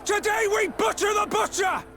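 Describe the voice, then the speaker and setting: A man's voice: extremely high pitch, moderate pace, gravelly, raised voice, over loud music. An actor playing an enraged townsman in a vengeful mob in a video game. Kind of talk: dramatic video game voice acting.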